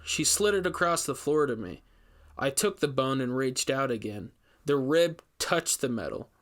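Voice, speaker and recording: A male investigator, voiced by a man reading aloud recounts a grim ordeal in a horror audio story. His mid-pitched delivery is measured and dramatic, with emphatic first-person storytelling. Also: lively intonation, natural voice. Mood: grim, suspenseful.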